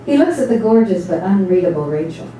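A woman playing the storyteller in a staged dramatic reading, narrating in a measured, literary way. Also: medium pitch, very animated, dark tone, close to an everyday voice. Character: observant storyteller narrating a bar scene. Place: small stage before a seated audience. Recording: very echoey hall, distant mic, faint noise.

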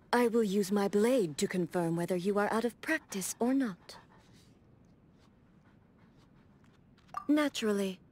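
An actress voicing a serene, dignified female warrior ruler in a video game, speaking formally and with measured composure. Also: mid-range voice, a little mellow, slight background noise, lightly acted. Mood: resolute. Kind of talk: scripted video game character dialogue.

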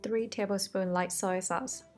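A woman from Thailand narrates a home cooking lesson in voice-over, explaining each step in a friendly, reassuring way. Her voice sits at an ordinary middle pitch, and soft music plays faintly underneath. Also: steady tone, unhurried. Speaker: cooking show host.

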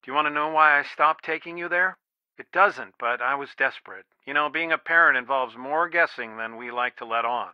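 A man's voice: mid-range voice, fairly quick, clear, resonant tone, no room sound. An actor playing a worried, protective middle-aged father in a video game, earnest and pleading, heard through a telephone filter. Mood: wistful, tender. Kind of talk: naturalistic video game dialogue, one side of a phone call. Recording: dry, band-limited phone-like sound.

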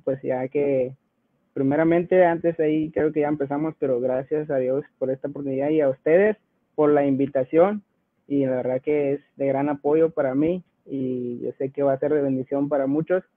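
A man's voice: a little high, fairly even intonation, moderate pace, no room sound, phone-quality sound. A man in his twenties with a Guatemalan accent, telling his personal story casually as an interview guest. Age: 20s.

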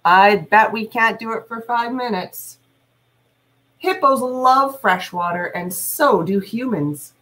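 A middle-aged woman teacher reading aloud to children, deliberate, adding explanations and questions for young listeners. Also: on the high side, fairly bright tone. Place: small room at home, indoors.

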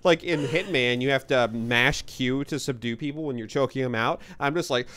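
A man's voice: mid-range voice, moderate pace, fairly bright tone, smooth. A man chatting casually and jokingly as a co-streamer, acting out sounds and reactions. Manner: jokey, acts out sounds and reactions.

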